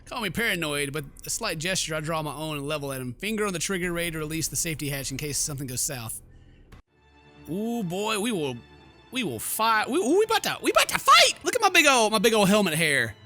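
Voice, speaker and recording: A man streams a video game with a high, bright voice. He reads the story text dramatically and breaks into slangy, excitable asides. Music plays softly behind him. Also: fast talker. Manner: jokey, theatrical, excitable, slangy exclamations. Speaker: gaming streamer. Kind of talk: let's play commentary with dramatic reading of game text.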